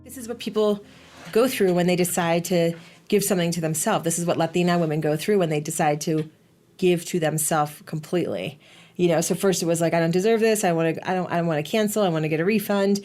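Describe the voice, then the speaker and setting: Brief: woman host, lower pitch, earnest and explanatory, reflective commentary. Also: fairly even intonation, fast talker, darkish tone, faint music behind. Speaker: podcast host.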